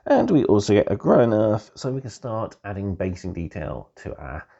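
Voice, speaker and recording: A hoarse man nursing a heavy cold hosts a laid-back review, his voice gravelly and worn. His tone is mellow and dark, but his pitch swings up and down with lively turns. The recording sounds dull and muffled. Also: moderate pace. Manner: relaxed, easygoing, keeps calling things pretty cool. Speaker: host of a tabletop hobby channel. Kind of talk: casual magazine review.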